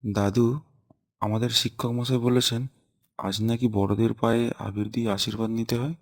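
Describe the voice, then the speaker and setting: Cartoon schoolboy voiced by a man, medium pitch, dutiful and earnest. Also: level tone, normal speed, close to an everyday voice. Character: dutiful boy asking his grandfather and friend.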